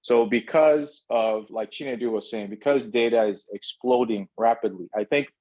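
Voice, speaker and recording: A man presenting at an online info session, laying out learning steps in a steady explanatory way with some fillers. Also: medium pitch, moderate pace.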